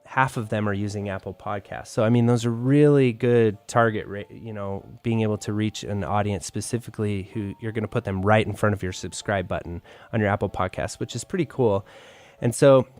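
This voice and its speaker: A man's voice: fairly low pitch, brisk, a little mellow, soft background music. A man co-hosting a podcast, sharing news and tips in a casual, conversational, explanatory way. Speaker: podcast co-host.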